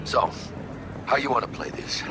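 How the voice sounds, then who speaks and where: Actor as a lively, swooping-voiced street-gun buddy. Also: male voice, medium pitch, normal speed, natural voice. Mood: wry, animated. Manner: casual slang sprinkled with Spanish. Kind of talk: video game dialogue. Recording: loud ambient street and vehicle noise.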